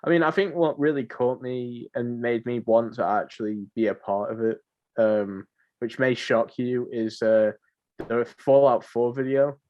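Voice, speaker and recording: A man's voice: medium pitch, fairly even intonation, unhurried. Man chatting on a lo-fi podcast. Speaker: podcast host. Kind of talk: casual podcast chat.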